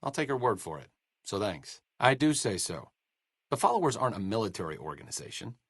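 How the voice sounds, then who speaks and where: An actor playing a sardonic young scholar and medic in a video game, with dry, sarcastic wit. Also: male voice, lowish voice, big pitch swings, fairly quick, a bit bright, slightly rough, no echo, exaggerated voice. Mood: wry. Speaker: game companion character. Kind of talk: studio video game voice acting.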